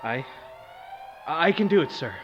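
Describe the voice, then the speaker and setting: An actor playing a nervous, self-doubting scientist in a melodramatic audio drama, hesitant and strained. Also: male voice, a little high, expressive, a little slow, lo-fi sound, a little hiss or hum. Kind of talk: hammy audio drama acting.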